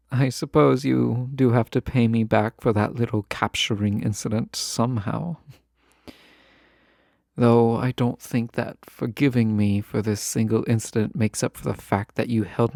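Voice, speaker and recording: A man plays a lovestruck fantasy nobleman who talks slowly and haltingly in formal, old-fashioned phrases. He is tender and wistful with a teasing streak. The recording is close and dry, made for a romantic audio drama. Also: mid-range voice, steady tone, creaky, a little mellow, dry recording. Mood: wry. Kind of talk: romantic audio roleplay acting.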